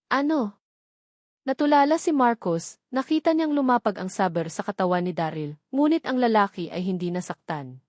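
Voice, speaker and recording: A woman narrates a work of fiction from a script. Her voice sits at an average pitch for a woman. The sound is dry, with very little room around it. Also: darkish tone, no echo. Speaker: audiobook narrator.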